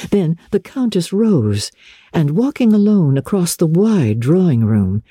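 A woman reads classic fiction as a storyteller, with a husky, mellow voice. Her delivery is measured and dramatic, with a widely swinging melody. The sound is close and dry. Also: a bass voice, no room sound. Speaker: audiobook narrator and radio presenter. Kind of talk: scripted dramatic reading of a classic novel.

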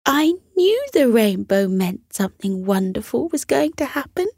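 Storybook mother, played by a female narrator: adoring, wonder-struck, high and very lively, drawn-out delivery. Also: creaky, husky, no echo, clean studio sound, full character voice. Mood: delighted.

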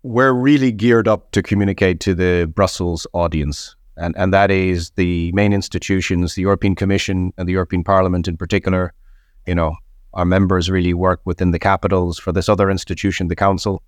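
Man, interview guest, low pitch, slightly husky from a cold, measured, explanatory. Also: steady tone, no echo, clean studio sound. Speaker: guest, head of a hunting federation. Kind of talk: interview answers.

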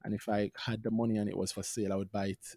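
A man's voice: fairly low pitch, little pitch movement, very fast, vocal fry, mellow, no echo, studio quality. A Jamaican man co-hosting a podcast, chatting informally about finance in a hesitant, self-repeating way. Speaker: podcast co-host reviewing stocks. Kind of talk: casual unscripted financial chat.

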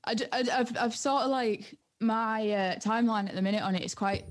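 A woman's voice: on the high side, fairly quick, no room sound, studio quality. A young woman, chatting casually and colloquially as an interview guest. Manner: informal, colloquial. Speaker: music producer and DJ guest. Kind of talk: casual chat.

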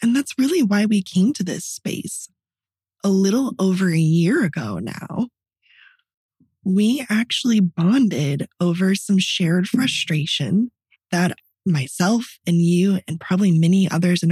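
A woman with a raspy voice, co-hosting a podcast and telling personal stories in a candid, reflective, conversational way. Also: fairly low pitch, warm tone, no echo. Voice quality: raspy voice from partly paralyzed vocal cords. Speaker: podcast co-host. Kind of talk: personal storytelling in casual podcast chat.

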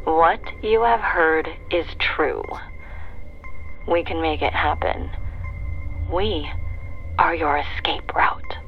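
A woman's voice: low-pitched, dull audio, faint music behind. An actress playing an eerie, teasing woman who speaks as a secret agency's answering machine in an audio drama. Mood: ominous, deadpan. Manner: slow, taunting, breaks into singsong.

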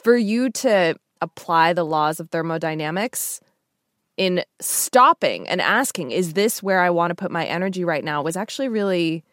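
Lower-voiced woman hosting, close dry sound. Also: lively intonation, normal speed, fairly bright tone, no room sound. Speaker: podcast host and interviewer. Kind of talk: conversational podcast interview with some narration.

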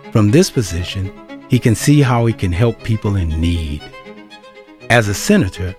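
A man reading scripted narration for an audio drama, with string music behind him. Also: on the low side.

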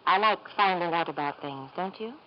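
An actress playing a cool, clever secretary in a radio drama, poised, dry and formal. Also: female voice, fairly low pitch, moderate pace, close to an everyday voice. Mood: dryly flirtatious. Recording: dull, faintly hissy 1950s radio recording.

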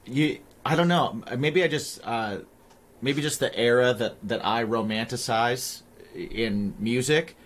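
A man co-hosting a podcast in casual chat, animated and hesitant, acting out his own thoughts in quotes. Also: medium pitch, unhurried. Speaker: podcast co-host.

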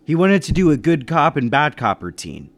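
Man acting a breezy 1930s businessman, lively delivery. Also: a little high, normal speed. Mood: matter-of-fact. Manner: breezy old-time slang. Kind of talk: 1930s radio drama acting and narration.

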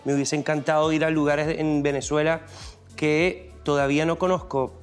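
A man's voice: fairly high pitch, level tone, normal speed, clear, resonant tone, faint music behind. Thoughtful young man, hesitant. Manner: thoughtful, hesitant, many ums. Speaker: son, guest. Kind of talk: family podcast conversation.

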